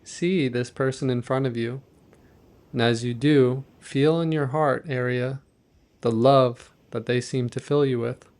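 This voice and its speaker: A man leading a guided meditation in a gentle, measured, softly instructive manner. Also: mid-range voice, fairly even intonation.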